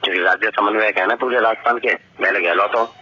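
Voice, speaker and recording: A man's voice: on the low side, fairly even intonation, fast talker, a crowd in the background. A man with an eastern Rajasthan Bharatpur accent chatting casually and boastfully, rambling and repeating himself on a recorded phone call. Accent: eastern Rajasthan (Bharatpur) regional Hindi.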